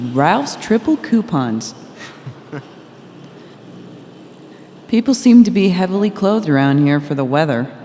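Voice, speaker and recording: A woman's voice: sits low, mellow, slight background noise. A woman reading a blunt, teasing girlfriend in a scripted audio story, casual and matter-of-fact. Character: Freya, blunt, teasing, observant girlfriend in passenger seat. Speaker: reader of the girlfriend's part in a novel reading. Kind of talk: scripted audio-story dialogue over music. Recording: long reverberation under music. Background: music.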